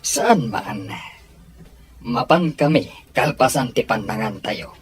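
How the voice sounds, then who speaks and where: A man's voice: medium pitch, expressive, slow pace, music in the background. A man acting a defensive henchman in an audio drama, protesting as he promises to get the job done. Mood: businesslike. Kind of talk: Ilocano radio-style audio drama acting.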